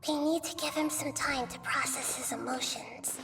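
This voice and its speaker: A woman playing a gentle, composed, girlish wise guide in a video game, explaining things in a calm, measured way. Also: high voice, vocal fry, distant mic, music in the background. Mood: calm, knowing.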